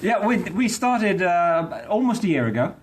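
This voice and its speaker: A man's voice: high-pitched, sing-song, a little slow, crowd noise. A man from Sweden, a podcast co-host, talking hesitantly with many fillers in a casual chat. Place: conference venue.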